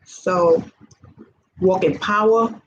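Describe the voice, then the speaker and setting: A woman's voice: medium pitch, drawn-out words, dull audio. A woman in her early sixties giving fervent, repetitive faith encouragement in an informal vlog. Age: 60s. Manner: fervent and repetitive, preacher-like exhortation. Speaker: faith vlogger. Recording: noisy background hiss.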